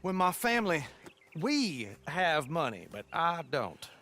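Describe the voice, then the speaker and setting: An actor playing a nervous, refined young gentleman in love, talking in an anxious, pleading way. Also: on the high side, big pitch swings, measured pace, exaggerated voice. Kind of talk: video game cutscene acting.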